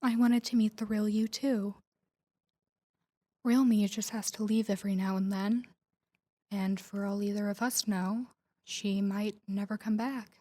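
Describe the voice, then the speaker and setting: A woman playing a guarded, tender mafia boss in an intimate audio roleplay, speaking softly and breathily to a lover in a measured, confiding way. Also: medium pitch, steady tone, natural voice. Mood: solemn, tender. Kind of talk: intimate scripted audio roleplay addressed to the listener.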